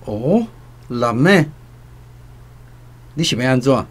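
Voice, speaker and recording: A man's voice: mid-range voice, very animated, slow pace. An actor with a Taiwanese accent playing a cold, uncompromising male village chief in a radio drama. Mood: scornful, impatient.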